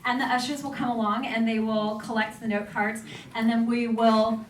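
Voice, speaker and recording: A woman with a fairly high voice is emceeing a live event. She speaks informally and effusively to the room. The sound is echoey and a little distant from the mic, with faint noise. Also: flat delivery, moderate pace. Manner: enthusiastic, effusive. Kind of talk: informal welcome speech to a live audience. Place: indoor event room with an audience. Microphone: stage microphone.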